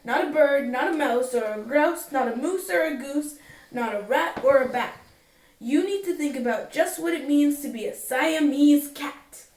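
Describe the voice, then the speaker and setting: A woman's voice: high-pitched, normal speed, a little mellow, voice pushed up. A woman voicing a stern mother cat in a storybook, lecturing her kitten with emphasis. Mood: scolding. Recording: roomy, distant microphone.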